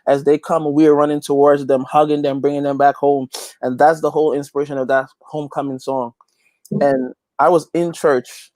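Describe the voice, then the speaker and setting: A man's voice: fairly high pitch, little pitch movement, normal speed, a little mellow, no echo. A man chatting casually as an interview guest, often repeating phrases for emphasis. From Guyana. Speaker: interview guest, gospel reggae singer and youth pastor.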